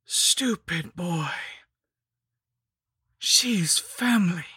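A woman voicing a cruel undead female lich sorceress, rasping and mocking, sliding into breathy whispers. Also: fairly low pitch, expressive, extremely slow, sharp tone, dry recording, clean studio sound, heavily acted. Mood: menacing. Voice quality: rasping, cackling.

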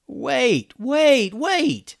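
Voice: mid-range voice, big pitch swings, normal speed, no room sound, studio quality, voice pushed up. A storyteller voicing an eager, earnest young boy on an adventure in a children's story. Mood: alarmed.

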